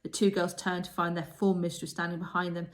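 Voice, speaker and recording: Alçakça perdeden masal okuyan kadın. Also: female voice, on the low side, little pitch movement, brisk. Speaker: storybook reader. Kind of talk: expressive children's story narration.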